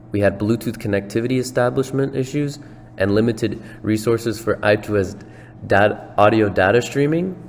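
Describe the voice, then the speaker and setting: Low young male presenter with long reverb. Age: young adult. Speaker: student project presenter. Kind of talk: scripted slide presentation. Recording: long reverb, faint hum.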